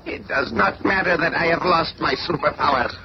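An actor playing a savage, theatrical alien villain in a radio drama, declaiming grandly. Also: male voice, a little high, animated, normal speed, vocal fry. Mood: menacing. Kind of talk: old-time radio drama acting. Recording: dull, noisy old radio recording.

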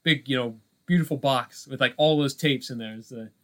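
A man co-hosting a podcast in casual chat, halting and full of filler phrases. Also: mid-range voice, a bit bright. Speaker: podcast co-host.

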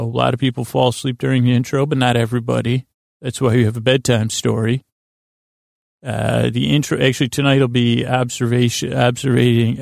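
Creaky-voiced man rambling soothingly. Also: medium pitch, darkish tone, dry recording, clean studio sound. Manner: meandering, halting, often trails off and repeats himself; lulling, soothing, whimsical. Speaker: podcast host. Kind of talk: rambling bedtime monologue to lull listeners to sleep.

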